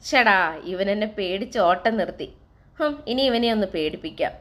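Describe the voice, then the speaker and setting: A woman's voice: medium pitch, animated, normal speed, fairly bright tone, lightly acted. A woman voicing a foolish, boastful male donkey in a children's storybook tale, talking in a scoffing, cocky way.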